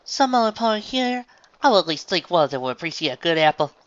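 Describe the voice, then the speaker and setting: A man voicing a plain-spoken country farm mare who talks haltingly and stiffly, straining to sound refined. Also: high voice, big pitch swings, dry recording, muffled recording, voice pushed up. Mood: reluctant, stiff.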